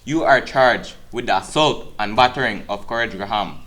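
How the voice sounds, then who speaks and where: A man's voice: on the low side, normal speed, a bit bright, close to an everyday voice. A man playing a firm, formal, reproachful police officer in a dramatized scene. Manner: formal, lecturing.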